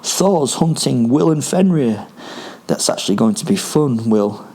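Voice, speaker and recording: A man's voice: medium pitch, expressive, a little slow, mellow, slightly rough. A man voicing a grumpy, bloodthirsty male spirit werewolf in a story, sweary, emphatic and goading. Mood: awed, amused.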